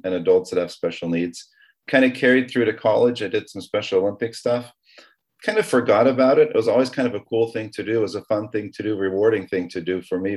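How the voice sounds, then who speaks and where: A middle-aged man with a low voice talks as a guest in an interview. He grew up in Taiwan and tells his story conversationally, sometimes halting and repeating a word. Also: darkish tone, poor audio.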